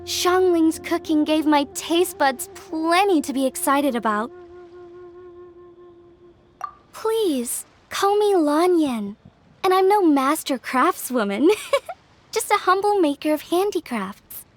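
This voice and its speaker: A woman's voice: high voice, lively intonation, unhurried. A woman voicing a gracious, humble young female artisan in a video game, polite and genteel as she speaks. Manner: polite, self-deprecating, genteel. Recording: music behind the voice.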